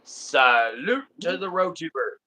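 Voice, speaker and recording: A man's voice: fairly high pitch, sing-song, slow, bright, forceful tone. A man with a Texan accent from Southeast Texas, hosting a livestream in easygoing, rambling casual chat full of ums and uhs. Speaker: livestream host.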